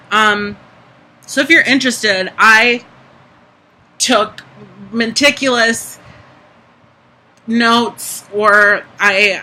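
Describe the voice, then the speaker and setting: A young adult vlogger with a high speaking voice, giving a candid, chatty personal update full of "like" and "um". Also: sharp tone, slight background noise, poor audio. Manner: candid, chatty, frequent "like" and "um" fillers. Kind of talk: casual personal vlog update.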